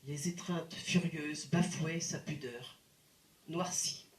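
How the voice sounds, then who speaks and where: A woman reciting poetry in a deliberate, dramatic manner with expressive phrasing and pauses. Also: low-pitched. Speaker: poet reciting. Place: indoor live poetry evening venue. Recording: roomy live recital sound. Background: plucked guitar music.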